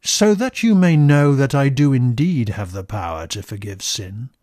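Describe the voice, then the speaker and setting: A man voicing a calm, authoritative religious teacher and healer in a storybook reading, deliberate and commanding. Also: medium pitch, dry recording, clean studio sound, natural voice. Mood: firm, challenging.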